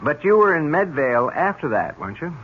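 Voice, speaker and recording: An actor playing a polite, probing police lieutenant with a deliberate, courteous way of questioning, in old-time radio drama. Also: male voice, fairly high pitch, big pitch swings. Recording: dull, noisy old radio recording.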